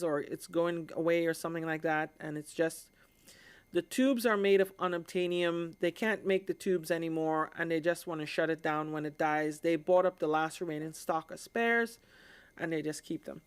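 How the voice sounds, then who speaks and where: A woman's voice: on the low side, clear, resonant tone. A woman from the Caribbean hosting a live stream, explaining things in an informal, conversational way and often checking in with her listeners.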